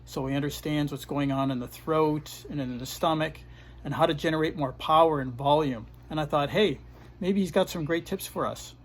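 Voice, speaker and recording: Karate instructor hosting a video chat, slightly higher male voice, easygoing and full of fillers. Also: normal speed, a little hiss or hum. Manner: relaxed, chatty, frequent fillers. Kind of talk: casual video interview.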